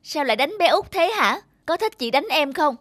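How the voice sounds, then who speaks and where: A woman's voice: sits high, animated, moderate pace, a bit bright, no room sound, studio quality. A woman voicing a bossy big-sister teacup girl in a children's cartoon, feisty but easily scared. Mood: scolding.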